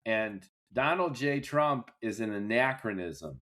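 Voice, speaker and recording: A man hosting a podcast, talking in a reflective, wordy way with drawn-out phrasing in a casual political debate. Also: medium pitch, lively intonation, fairly bright tone. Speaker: podcast host.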